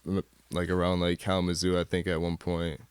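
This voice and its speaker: A man's voice: low-pitched, monotone, fairly quick, smooth, no echo. An American man from Michigan speaking as a guest in a casual interview, rambling in a laid-back, slangy way. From Michigan, United States. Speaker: guest artist. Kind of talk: casual studio interview chat.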